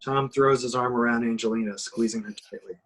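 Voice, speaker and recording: A man's voice: medium pitch, steady tone, a little fast, a bit bright. A man reading out screenplay action as narrator in an amateur horror table reading.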